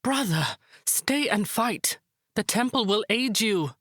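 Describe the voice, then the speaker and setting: Proud, commanding high priestess. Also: female voice, expressive, slow pace, a bit bright, no room sound, studio quality, full character voice. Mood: resolute, sorrowful. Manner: formal, commanding, composed. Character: proud, resolute high priestess, dignified aunt. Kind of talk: dramatic visual novel acting.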